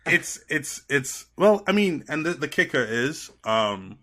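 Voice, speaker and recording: Animated Trinidadian man, bright voice. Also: a little high, unhurried. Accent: Trinidadian with an American tinge. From Trinidad and Tobago. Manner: animated, rambling storyteller. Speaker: podcast guest. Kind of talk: casual chat.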